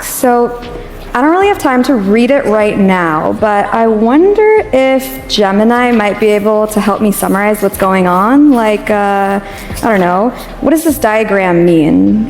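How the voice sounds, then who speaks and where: A woman's voice: medium pitch, expressive, measured pace, a little mellow. A woman giving a conversational live product demonstration on stage. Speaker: product demo presenter. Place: stage before an audience. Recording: echoey hall with reverb, faint music.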